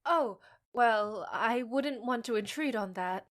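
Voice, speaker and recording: Woman doing wry candid half-human gal in a podcast drama, highish voice, slow, kinda awkward. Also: fairly bright tone. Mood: earnest. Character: frank, wry half-human woman, pun-loving job seeker. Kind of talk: fiction podcast acting.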